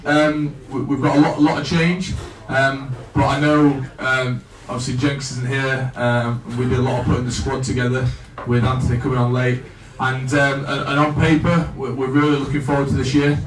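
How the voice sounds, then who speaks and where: A man, a coach at a fan evening, speaking haltingly, repeating words and laughing at himself. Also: mid-range voice, flat delivery, crowd noise. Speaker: assistant coach. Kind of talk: casual speech at a fan evening. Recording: echoey room, far from the mic, noise behind.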